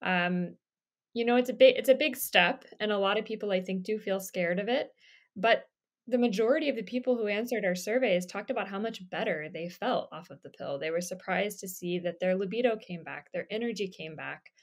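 A woman's voice: medium pitch, fairly quick. A young woman interviewer and co-host, chatting casually with lots of fillers over a webcam in a bedroom. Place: bedroom indoors. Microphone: webcam on video call.

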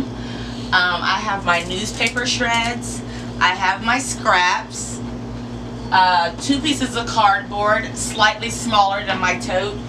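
Woman doing a casual step by step tutorial, slow talker, distant camera mic w clear background noise. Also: medium pitch. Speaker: host demonstrating a how-to. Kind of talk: informal step-by-step demonstration. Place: enclosed porch or sunroom. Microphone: camera mic a few metres away.

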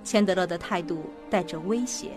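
A woman's voice: lowish voice, moderate pace. A woman narrating a scripted suspense radio drama. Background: music behind the voice.

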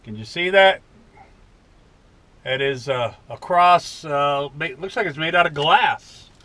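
An American man in his fifties chats casually to his viewers. His voice is bright and a bit high, and he takes his time with a folksy, very slow delivery. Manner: folksy, unhurried. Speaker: vlogger. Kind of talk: casual unboxing vlog. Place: inside a parked truck cab.

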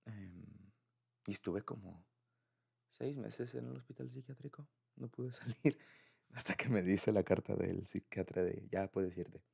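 A man's voice: lowish voice, measured pace, vocal fry, darkish tone, slightly rough. A young man with a Mexican accent speaking informally and confidingly in an intimate, conversational boyfriend roleplay. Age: young adult. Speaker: audio roleplay creator speaking to a partner.

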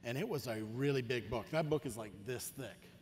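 A man in his forties on a panel, telling a personal story in an earnest, halting way. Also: medium pitch. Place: large convention stage, indoors. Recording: long hall reverb, faint noise.

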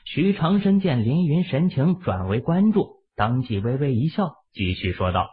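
Male audiobook narrator, husky, lively pitch swings, thin phone-like sound. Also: normal speed. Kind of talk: expressive scripted novel narration. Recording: muffled, phone-like narrow sound.

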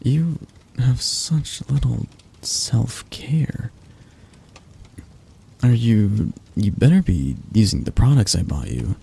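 Man acting a husky, breathy possessive mafia boss. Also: mid-range voice, very animated, slow, warm tone, full character voice. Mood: possessive, doting. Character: possessive young male mafia boss, doting yet controlling. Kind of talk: ASMR boyfriend roleplay.

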